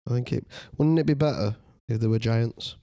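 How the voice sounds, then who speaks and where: A young man in a casual podcast chat, rambling through playful ideas with lots of ums. His voice sits at a middle pitch and sounds dry and close. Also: brisk, mellow, dry recording. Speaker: podcast co-host.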